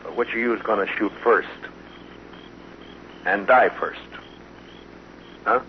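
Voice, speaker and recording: An actor playing a stern, commanding marshal in an old-time radio western, speaking with firm authority. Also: male voice, on the low side, fairly even intonation, slow pace. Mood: menacing calm. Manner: authoritative, firm, clipped orders. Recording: dull vintage radio recording, faint noise.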